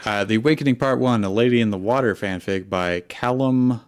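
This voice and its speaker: A man's voice: lowish voice, a little fast, clear, resonant tone, dry recording. A man hosting in a casual, wry, bantering chat style. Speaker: host introducing stories.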